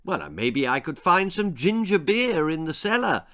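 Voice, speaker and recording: A man's voice: a little high, very animated, normal speed, a bit bright, pitched above the performer's own voice. A man voicing a good-humoured, teasing older uncle in a children's storybook, with a folksy manner.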